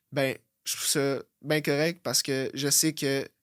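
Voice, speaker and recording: A young man with a Québécois French accent, hosting a blunt, profane motivational podcast that swings from loud outbursts to low muttering. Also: level tone, takes it slowly, clear, resonant tone, no room sound, studio quality. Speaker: fitness coach and podcast host.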